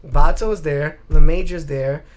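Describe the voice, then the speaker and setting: A man hosting a casual podcast, speaking bluntly with plenty of swearing and getting animated when exasperated. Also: fairly high pitch, a little slow. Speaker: podcast host. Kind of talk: casual opinionated podcast chat.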